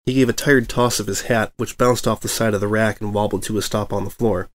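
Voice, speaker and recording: A man's voice: lowish voice, steady tone, fairly quick. A man reading a story aloud as narrator in a scripted storytelling style. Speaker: fan fiction reader, narrator.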